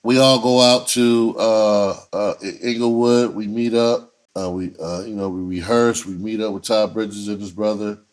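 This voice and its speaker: A man's voice: fairly low pitch, fairly even intonation, moderate pace. A middle-aged man telling a story casually, in a rambling, loose, slangy way. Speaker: entertainer and filmmaker telling his own story.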